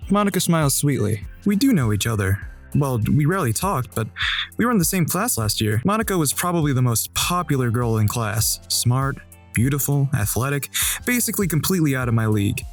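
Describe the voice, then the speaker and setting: A man playing an awkward teenage schoolboy who narrates his own thoughts in a mid-pitched voice. The delivery is even and wryly self-aware, with faint music underneath. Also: brisk. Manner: even, wry inner-monologue narration. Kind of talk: fan dub of a visual novel.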